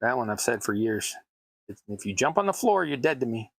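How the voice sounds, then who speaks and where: A man's voice: medium pitch, very animated, fairly quick, creaky, fairly bright tone, slightly rough, no echo. An American man chatting casually to his stream audience while building tabletop terrain, rambling and self-deprecating. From United States. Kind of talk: casual chat while crafting. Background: sounds of cutting at a worktable.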